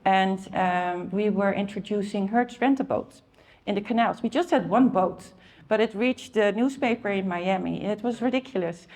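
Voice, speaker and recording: A middle-aged woman with a Dutch accent speaks at an industry conference. Her talk is loose and conversational, full of fillers and restarts, and her pitch sits in the middle range. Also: moderate pace, darkish tone. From Netherlands.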